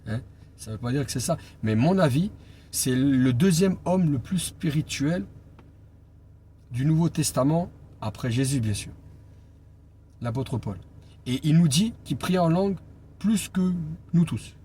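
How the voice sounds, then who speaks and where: A male evangelist gives an informal Bible teaching in a fairly high voice. He speaks deliberately, leaning on key phrases and pausing between them. There is noticeable background noise in the recording. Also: animated, mellow. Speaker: evangelist preacher. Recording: clear background noise and ambience.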